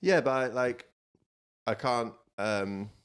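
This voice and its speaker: A man's voice: mid-range voice, takes it slowly, clear, resonant tone. A man who is a comedian, co-hosting a podcast in a casual chat and riffing with dry, jokey asides.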